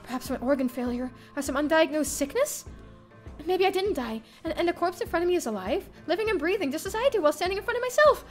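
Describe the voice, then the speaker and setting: A woman's voice: very high voice, expressive, quick pace, soft background music, voice pushed up. A woman voicing a distraught, anguished young heroine of a horror visual novel in dramatic first-person narration.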